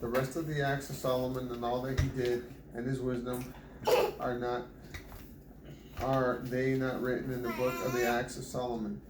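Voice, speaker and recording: A man reads scripture aloud at home, keeping an even, unvaried tone and restarting whenever he trips over a name. His voice sits at a middling pitch. The room is echoey, and steady background noise runs under the reading. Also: normal speed. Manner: stumbles and restarts on hard names. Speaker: Bible reader.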